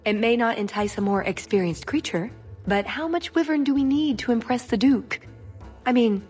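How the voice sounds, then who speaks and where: A woman playing a playful, quick-witted young elf adventurer in a scripted fantasy game. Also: on the high side, darkish tone, husky, faint music behind. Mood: playful, wry.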